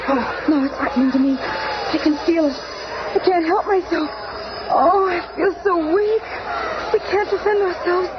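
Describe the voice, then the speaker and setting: A woman's voice: very high-pitched, normal speed, pauses often. An actress playing an anxious female superhero in a 1970s radio drama, exclaiming melodramatically. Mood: distressed, weakening. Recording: noisy 1970s radio recording, commotion behind.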